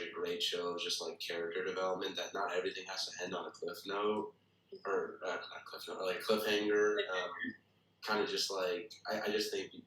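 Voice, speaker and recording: A man with a deep, flat-pitched voice offers tentative opinions in casual conversation. He sounds set back from the mic in an echoey room. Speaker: table read participant giving feedback. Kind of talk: casual spoken feedback. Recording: distant, echoey room with faint noise.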